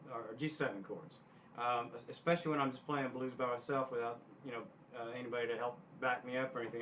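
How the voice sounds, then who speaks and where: A man giving casual guitar instruction in a halting way, often backtracking and clearing his throat. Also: mid-range voice, a bit bright, a little hiss or hum, poor audio. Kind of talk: casual instructional talk to camera.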